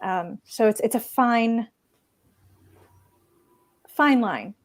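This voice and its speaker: A woman's voice: a little high, very slow. Woman, native Hebrew speaker, from Israel, panelist, hesitant restarts. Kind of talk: casual panel discussion.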